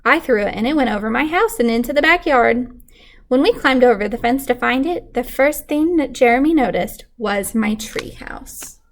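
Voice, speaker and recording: A woman's voice: a little high, animated, moderate pace. A woman reading a children's storybook aloud expressively, like a teacher with a class lesson.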